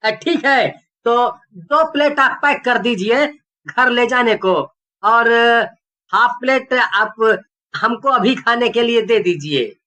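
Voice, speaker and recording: A woman voicing a devoted, practical young husband in an animated horror story, indulgent and reassuring with a teasing edge. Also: a little high, takes it slowly, pitched above the performer's own voice, heavily acted. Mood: practical, polite. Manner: reassuring, gently chiding.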